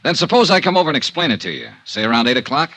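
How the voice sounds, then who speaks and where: A wry American investigator from a vintage noir radio serial. His pitch swings freely as he wisecracks and flirts, sardonic and sure of himself. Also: very animated, racing, pushed and bright. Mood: sardonic, flirtatious. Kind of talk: 1950s noir radio acting and narration.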